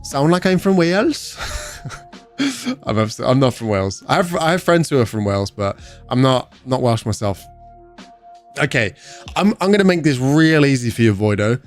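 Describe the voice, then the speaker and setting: A man's voice: medium pitch, expressive, moderate pace, pauses often, faint music behind. A young man from England with an English accent, hosting a gaming livestream and chatting casually with viewers as he reads their messages. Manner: chatty, reads chat aloud and answers viewers. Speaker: livestream host.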